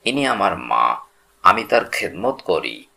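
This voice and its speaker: A humble young butcher from a religious tale , voiced by a male storyteller. He gives plain, respectful, devoted answers at a slow pace, with some gravel in the voice. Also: low voice, big pitch swings, bright, forceful tone, voice pushed down, full character voice. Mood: humble, sincere.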